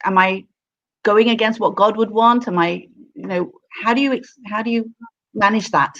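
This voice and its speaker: A woman's voice: medium pitch, normal speed, fairly bright tone, lo-fi sound. A woman hosting an interview, asking hesitant, searching questions full of ums. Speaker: interview host. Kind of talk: conversational interview.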